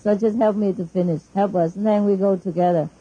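A woman's voice: mid-range voice, steady tone, fairly quick, warm tone, muffled recording, slight background noise. A middle-aged woman with a Vietnamese accent, a spiritual teacher giving an informal, chatty talk to her disciples, laughing often between her phrases.

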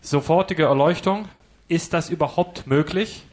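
A man's voice: on the high side, normal speed, noisy background. Man asking careful interview questions.

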